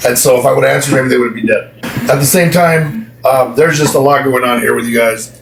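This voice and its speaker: A man giving a casual tarot reading, speculating out loud in an animated way. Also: mid-range voice, fairly quick, vocal fry. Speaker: tarot reader. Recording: roomy, distant, noisy clip.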